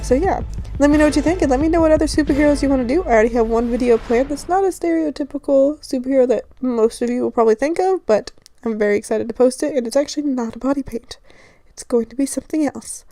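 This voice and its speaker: A woman with a raspy, slightly hoarse just-woken voice, giving a casual, rambling voice-over tutorial as host. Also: high voice, fast, warm tone. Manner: rambling, informal. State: just woke up, morning hoarseness. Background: background music.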